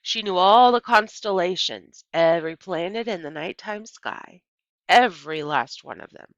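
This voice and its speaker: A gentle preacher dad, voiced by a woman reader, reflective and measured as in a storybook. The delivery is bright and very expressive in pitch, recorded close and dry with a slightly muffled finish. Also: dry recording. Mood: wistful. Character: gentle, thoughtful preacher father recalling his wife. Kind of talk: children's audiobook character voice.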